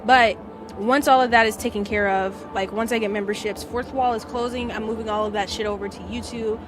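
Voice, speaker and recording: A woman's voice: a little high, steady tone, brisk. A woman live streamer chatting casually and slangily to her viewers.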